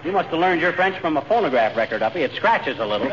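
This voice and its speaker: High-pitched wisecracking radio husband. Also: male voice, very animated, fast. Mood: mocking. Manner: sardonic, teasing. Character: wisecracking, sarcastic, blustery married man. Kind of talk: 1940s radio comedy acting. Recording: very noisy, muffled old radio broadcast.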